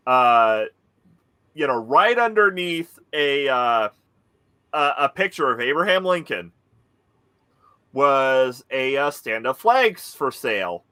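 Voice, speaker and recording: A man from the Pacific Northwest chatting casually over a call, stopping often to search for words. His speech is slow and unhurried, with a bright, forward tone. The sound is dry and close. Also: medium pitch, big pitch swings, clear, resonant tone, no echo. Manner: halting storyteller, many fillers. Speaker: podcast cast member. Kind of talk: casual chat.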